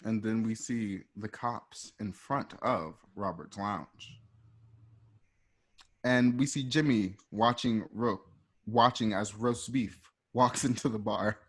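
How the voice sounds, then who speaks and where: A man narrating a scripted radio play, setting each scene in a measured present-tense delivery. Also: fairly low pitch, husky.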